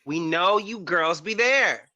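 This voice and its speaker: Male panelist, campy and emphatic, bright cutting tone, slightly lo-fi dry recording. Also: high voice, animated, takes it slowly, dry recording. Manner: sassy, campy, emphatic slang with "girl" and "honey". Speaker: panelist on a pop culture talk show. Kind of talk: animated opinionated casual chat.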